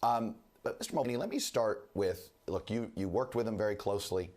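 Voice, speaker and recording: A man anchoring a news broadcast and interviewing a guest, speaking with a rough, hoarse voice while under the weather. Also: on the low side, lively intonation, a little mellow. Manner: measured, formal newsreader delivery.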